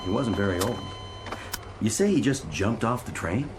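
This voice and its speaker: Man playing resigned emergency responder, lowish voice, matter-of-fact, radio drama, noisy vehicle ambience. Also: expressive, moderate pace, lightly acted.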